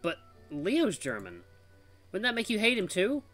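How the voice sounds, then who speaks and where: A man's voice: high voice, wildly swooping pitch, measured pace, a bit bright, soft background music, voice pushed up, exaggerated voice. A man voicing a modest, hesitant young ice cream trainee in a visual novel read-aloud. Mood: unsure.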